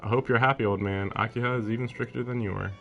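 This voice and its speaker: A man's voice: medium pitch, steady tone, fast, gravelly, muffled recording. A man live streaming a visual novel, reading its text aloud and breaking off for casual, wry, incredulous commentary. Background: game music with strings.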